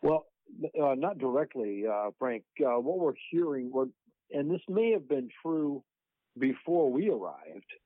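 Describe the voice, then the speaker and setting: A man speaks in a measured way, with fillers, over a narrow, tinny phone line. His voice is mid-pitched, and the sound is dry. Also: very animated, dry recording. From South Dakota. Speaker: guest volunteer. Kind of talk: casual phone interview.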